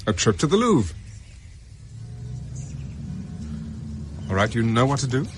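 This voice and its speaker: An actor playing a genial gentleman adventurer in a radio drama, with a light, wry, dryly amused manner. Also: medium pitch, very animated, fast talker, a little hiss or hum, lightly acted. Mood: wry, playful.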